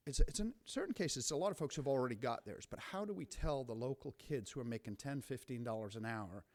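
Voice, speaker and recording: A man's voice: mid-range voice, a little fast. Conversational man answering a council. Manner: conversational, animated, frequent restarts. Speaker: city official answering council. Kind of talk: formal meeting remarks. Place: council chamber.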